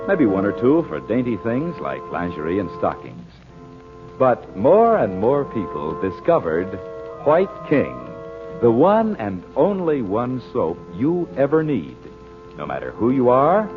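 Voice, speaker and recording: A male radio announcer reading a sponsor's commercial in a smooth, persuasive old-time style. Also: medium pitch. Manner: smooth, persuasive sales delivery. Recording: tinny old radio transcription with music.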